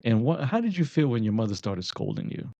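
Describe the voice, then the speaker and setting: A man co-hosting a casual advice talk, explaining things deliberately and checking in with his listener, on a slightly muffled recording. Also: mid-range voice, big pitch swings, gravelly, mellow, no echo. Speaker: podcast co-host.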